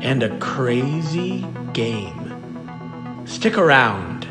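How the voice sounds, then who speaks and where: Male show host over loud music. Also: medium pitch, big pitch swings, extremely slow. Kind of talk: theatrical show presenting.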